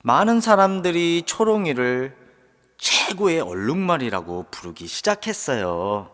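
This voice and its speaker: A man narrating a children's storybook in an expressive, drawn-out way. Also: fairly high pitch. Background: background music.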